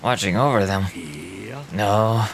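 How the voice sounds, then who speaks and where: A man acts out a solemn old guide of lost souls from a fantasy game. The voice sits low and speaks with grave, reflective weariness. A clear crowd-like murmur runs behind it. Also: slow pace, poor audio. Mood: grave, resolute. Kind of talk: dramatic read-aloud of game dialogue.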